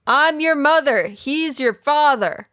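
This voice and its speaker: Blunt, baffled mom female performer, somewhat high voice, deadpan comedy reading, dry close sound. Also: slow pace, no echo. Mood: demanding. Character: blunt, demanding, uncomprehending mother in a melodrama. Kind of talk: improvised comedy table read.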